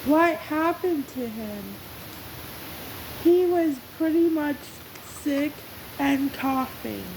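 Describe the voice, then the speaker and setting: A performer voicing a female racing driver who reads a children's story aloud in deliberate, spaced-out phrases, on a hissy recording. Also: high-pitched, pitched above the performer's own voice, heavily acted. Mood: presenting, measured. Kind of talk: scripted story narration with self-introduction. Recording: hissy, noisy home recording.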